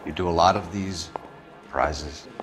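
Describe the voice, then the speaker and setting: An actor playing a brisk, stern 1940s police detective in a video game, asking clipped, businesslike questions. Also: male voice, low voice. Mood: probing, pointed. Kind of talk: video game cutscene acting. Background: background music.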